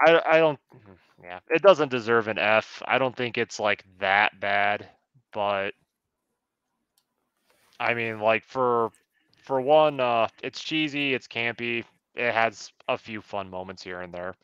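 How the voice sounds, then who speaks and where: A man's voice: on the low side, slow, long pauses, fairly bright tone, clean tone, dry recording, dull audio. A man co-hosting a podcast, chatting casually in a film review. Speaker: podcast co-host.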